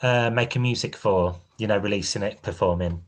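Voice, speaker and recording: A man's voice: fairly low pitch, a little fast. A man hosting a podcast interview, asking loose questions with plenty of fillers. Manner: relaxed questioning with many fillers. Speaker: podcast host.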